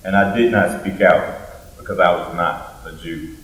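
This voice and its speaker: A man's voice: sits low, normal speed. A man giving a solemn, emphatic dramatic recitation from a script. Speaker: student performer in readers theater. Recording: echoey room, distant microphone.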